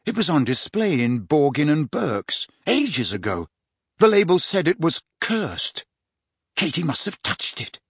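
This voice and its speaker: A man voicing an urgent teenage schoolboy who shouts for help. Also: fairly high pitch, sing-song, a little slow, slightly rough, no echo, clean studio sound, heavily acted. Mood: grave.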